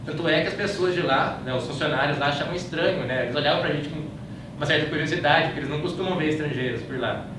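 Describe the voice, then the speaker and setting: A man's voice: on the high side, fast talker. A man from Brazil with a Brazilian Portuguese accent gives an informal slide-show talk in a conversational, anecdotal way, often adding little checking tags. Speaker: speaker giving a talk about his trip. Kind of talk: informal slide-show lecture, recounting travels. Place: indoor room, live talk to an audience. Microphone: far from the speaker. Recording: echoey room, distant mic, clear background noise.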